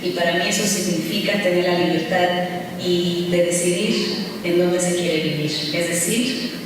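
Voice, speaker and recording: Calm, low-voiced woman, distant and echoey. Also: a little slow, noisy background. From Mexico. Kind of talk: short testimonial in a video. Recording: video played in a hall, from afar.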